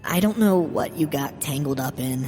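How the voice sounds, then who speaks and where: A man's voice: on the high side, animated, normal speed, dark tone, voice pushed up, lightly acted. Male narrator as bitter old mother, grieving and resentful, horror audio story, long hall reverb, music underneath. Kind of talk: dramatic horror story reading.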